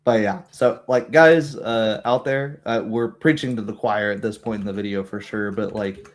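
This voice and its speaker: A man talking casually and opinionatedly as a streamer hosting a tabletop game group, with animated, ranting delivery. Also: lowish voice, normal speed.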